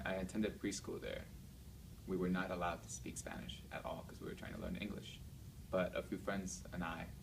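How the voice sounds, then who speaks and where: A man calmly telling, in an interview, how he was treated at school as a child. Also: sits low, gravelly. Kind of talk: personal recollection in interview. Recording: noisy amateur video recording.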